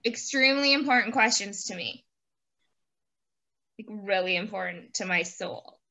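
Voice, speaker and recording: A woman hosting a casual interview over a video call, chatting playfully and quick to laugh. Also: medium pitch, animated, gravelly, sharp tone. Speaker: host interviewing a guest. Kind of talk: casual chat and quick-fire questions.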